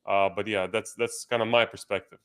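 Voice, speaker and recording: A man's voice: fairly low pitch, fairly even intonation, fairly quick, a bit bright, smooth. Casual man with a Croatian accent. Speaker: guest, tech entrepreneur. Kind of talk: casual panel talk.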